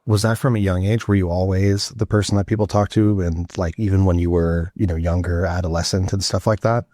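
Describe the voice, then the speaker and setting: A man's voice: deep voice, steady tone, brisk, dark tone, no room sound, studio quality. A man hosting an interview podcast, introducing his guest and asking questions in a friendly, easygoing way. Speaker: podcast host.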